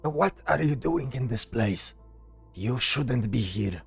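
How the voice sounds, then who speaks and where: A man's voice: mid-range voice, lively intonation, slow pace, gravelly, breathy edge, soft background music, heavily acted. A man voicing a stern, reproachful temple brother in a fantasy audio drama.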